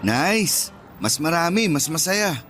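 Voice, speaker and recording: A man's voice: on the high side, animated, slow, bright, forceful tone, a little hiss or hum, heavily acted. An actor playing an easygoing young man chatting and joking with friends in a Filipino radio drama. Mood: friendly, upbeat. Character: easygoing young male friend heading on vacation.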